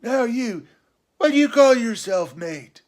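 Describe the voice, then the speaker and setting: A man voicing a half-crazed, sly and childish marooned sailor in an adventure storybook, hoarse, excitable and rambling in rustic seafaring dialect. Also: extremely high pitch, unhurried, voice pushed up. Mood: sly, startled. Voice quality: hoarse, awkward.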